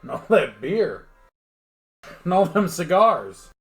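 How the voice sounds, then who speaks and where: An actor playing a rueful, grumbling old-time police lieutenant with folksy working-class talk in a radio play. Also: male voice, high-pitched, very animated, takes it slowly, a bit bright, heavily acted.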